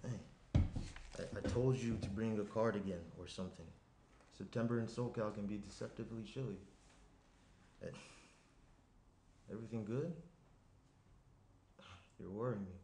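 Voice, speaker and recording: A man's voice: fairly low pitch, steady tone, normal speed, long pauses, mellow, natural voice. A young man acting an attentive, slightly anxious boyfriend in a conversational, hesitant script reading. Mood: concerned. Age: young adult. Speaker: actor in a screenplay table read. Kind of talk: naturalistic dramatic script reading. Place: small indoor room around a table. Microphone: shared tabletop microphone. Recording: roomy echo, noticeable room noise.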